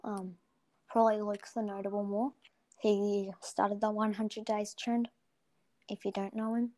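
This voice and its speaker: A woman's voice: medium pitch, level tone, measured pace, dark tone. A young woman guest on a casual gaming interview who talks hesitantly, with many ums and trailing sentences, recorded on a cheap built-in mic. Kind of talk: casual chat.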